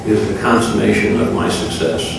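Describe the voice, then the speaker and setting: Halting man in a very echoey room. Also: lowish voice, flat delivery. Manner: halting, aggrieved, solemn. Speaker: local official at a public meeting. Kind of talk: extemporaneous public remarks. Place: public meeting room. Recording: very echoey room, distant mic, clear noise.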